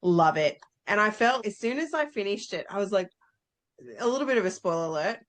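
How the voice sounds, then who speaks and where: A woman's voice: mid-range voice, lively intonation, a little fast. Woman cohost, midrange voice, keen n teasing, just chatting about stuff. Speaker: book club podcast co-host. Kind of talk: casual chat.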